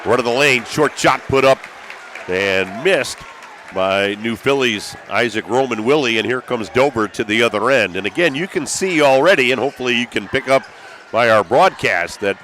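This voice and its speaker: A man's voice: mid-range voice, sharp tone. An American man doing live play-by-play radio commentary of a basketball game from courtside in a gymnasium. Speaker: play-by-play sports announcer. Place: courtside in a packed school gymnasium. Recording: gym background noise. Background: gym ambience, bouncing basketballs.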